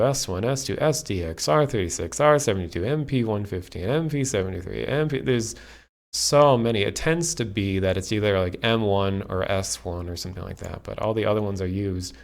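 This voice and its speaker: Male streamer, lowish voice, chatting informally and explaining a hobby with rambling enthusiasm. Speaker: livestream host. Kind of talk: casual livestream talk explaining a radio hobby.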